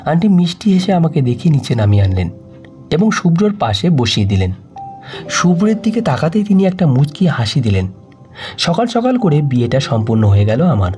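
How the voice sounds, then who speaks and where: A man reads a sad story aloud in a soft, sorrowful voice. His voice sits fairly high for a man and rises and falls widely. The tone is mellow, with faint music underneath. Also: big pitch swings, fairly quick. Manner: soft, sorrowful first-person reading. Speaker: audio story narrator. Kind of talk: scripted storytelling narration.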